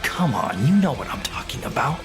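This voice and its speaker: A man's voice: mid-range voice, very animated, fairly quick, natural voice. An actor playing a jealous, insecure young male guitarist in an audio drama, teasing and needling his girlfriend. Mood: self-deprecating. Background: live band music.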